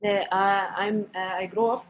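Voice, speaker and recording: Woman in her thirties, from the UAE, hesitant with many fillers, restarts her sentences, mid-pitched voice. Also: fairly even intonation, unhurried, narrow, tinny audio. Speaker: guest, barista and coffee shop owner. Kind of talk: casual interview answers.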